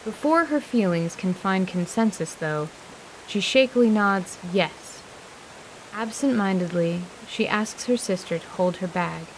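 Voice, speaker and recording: A woman narrating scripted fantasy in a measured, literary storytelling manner. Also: medium pitch, expressive, slight background noise, low-quality recording.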